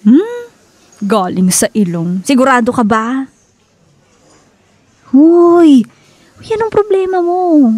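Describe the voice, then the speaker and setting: A woman acting a teasing, frank young best friend in a radio drama, playful and expressive, with a Filipino accent. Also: high voice, slow, long pauses, no room sound, full character voice. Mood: concerned, prodding.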